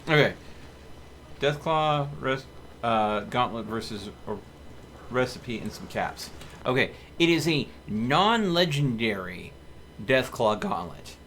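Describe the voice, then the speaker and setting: A man commentates casually on his game stream in a mid-range voice that swings up and down in lively fashion. Faint noise sits behind him. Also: medium pitch, slow pace. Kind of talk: casual live gameplay commentary.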